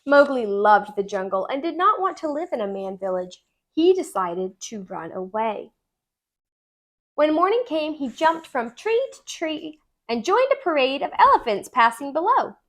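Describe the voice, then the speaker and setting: A woman narrating a children's storybook aloud in an expressive, deliberate storytelling manner. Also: sits high. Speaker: tutor reading aloud.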